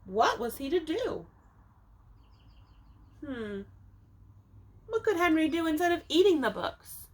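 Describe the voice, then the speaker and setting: A woman teacher reading a picture book aloud to young children, expressively, with dramatic emphasis and drawn-out words, speaking to them directly. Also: sits high, sing-song, darkish tone. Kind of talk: animated children's storybook read-aloud.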